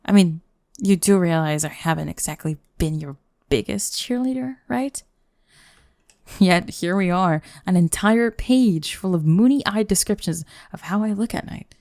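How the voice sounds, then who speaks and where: A woman's voice: lowish voice, animated, a little slow, a little mellow, dry recording. A young woman playing a sardonic goth bully girl in an intimate ASMR roleplay, grumbling and teasing the listener up close. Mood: teasing disbelief. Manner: teasing, grumbling, sighing, dropping into breathy murmurs. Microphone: close microphone, dry.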